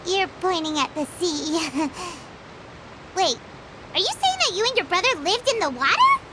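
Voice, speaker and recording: A woman voicing a small, excitable, curious female fairy companion in a video game, with a squeaky childlike voice and manner. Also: normal speed, background noise. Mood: puzzled. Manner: childlike, refers to self in third person.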